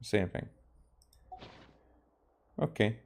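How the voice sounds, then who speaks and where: A man from Hungary, a native Hungarian speaker, doing casual gameplay commentary and reading text aloud haltingly. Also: darkish tone, faint music behind. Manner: halting, re-reads stumbled words. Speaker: game streamer.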